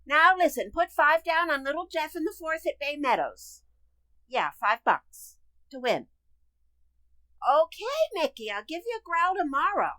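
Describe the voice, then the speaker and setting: A woman's voice: sits high, big pitch swings, a little slow, sharp tone, no echo, heavily acted. A woman playing a brash, slangy, overfamiliar housekeeper in a radio comedy play. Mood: cheerful, slangy. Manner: brassy, overfamiliar, slangy, blunt. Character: brash, gabby, slangy housekeeper who ignores her place. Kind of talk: radio comedy theater acting.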